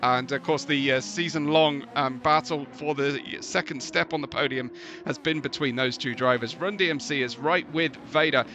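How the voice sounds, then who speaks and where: A male race commentator on a live stream, his tired voice gravelly yet bright and forceful. He chats casually and gets excitable once the cars are racing. The audio is a little muffled, with faint noise underneath. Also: medium pitch, normal speed. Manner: chatty, laughing asides, excitable during race action.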